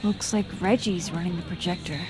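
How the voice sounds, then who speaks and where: A woman playing a wry young woman with a quiet mischievous streak in a video game, speaking in a dry, understated, teasing way. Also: on the low side, fairly quick, natural voice, low-quality recording. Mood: determined. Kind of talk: naturalistic video game acting.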